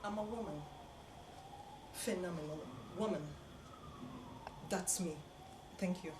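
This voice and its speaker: A young woman giving a bold, expressive dramatic poetry recitation with emphatic pauses. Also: on the low side. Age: young adult. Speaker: student performer. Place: indoor college event room. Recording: echoey noisy room, distant mic.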